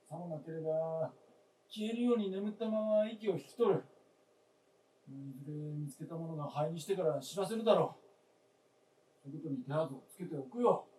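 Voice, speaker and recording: A man's voice: on the high side, darkish tone, lightly acted. Actor as a stubborn old father, roomy distant sound. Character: stubborn, weary old father living alone, hard of hearing. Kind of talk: live radio drama acting. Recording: roomy stage recording from afar.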